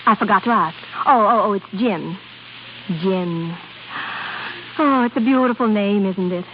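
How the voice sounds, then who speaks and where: A woman's voice: mid-range voice, unhurried, close to an everyday voice. A woman playing a temperamental, lovesick young opera diva with dramatic, emotional 1930s radio acting. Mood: lovesick, miserable. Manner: dramatic, emotional, breathlessly repeating words. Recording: muffled, noisy 1930s radio broadcast.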